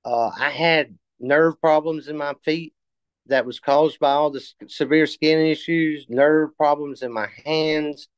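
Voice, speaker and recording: Smooth-voiced man in his fifties. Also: on the high side, steady tone, no room sound. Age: 50s. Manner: halting, many fillers and restarts. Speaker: interview guest. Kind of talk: casual testimonial interview.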